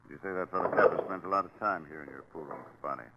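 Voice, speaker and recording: A man's voice: low-pitched, racing, dull audio, very noisy, natural voice. An American man playing a tough, sardonic police sergeant, clipped and commanding, in a 1940s radio crime drama. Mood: dry, pressing. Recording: vintage 1940s radio broadcast.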